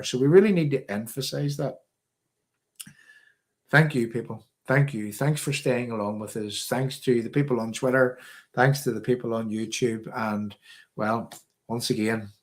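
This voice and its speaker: A man with a Northern Irish accent hosts a live political stream, giving off-the-cuff, opinionated commentary and repeating phrases for emphasis. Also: mid-range voice. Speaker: host of a political livestream.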